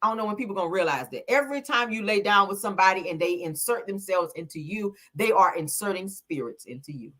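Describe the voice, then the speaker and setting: A woman in her late thirties speaks with a sound, her voice bright and forward. She riffs casually to a live chat, blunt and preachy, scolding and joking in the same breath. Her pitch sits right in the middle for a woman. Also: animated, fast talker, hardly any pauses. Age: 30s. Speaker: live show host. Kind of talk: casual live-stream commentary.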